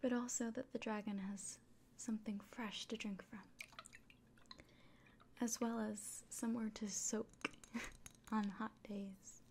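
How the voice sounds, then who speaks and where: A woman voicing a kindly, earnest elven innkeeper in a gentle, breathy, unhurried whisper for an ASMR fantasy roleplay. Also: a little high, flat delivery, slight background noise. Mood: gentle, reverent.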